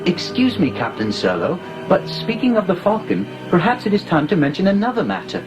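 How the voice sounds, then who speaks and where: A fussy golden protocol droid whose pitch swoops up and down as he talks. He is prim and very proper, and music plays behind him. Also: wildly swooping pitch, brisk, lo-fi sound.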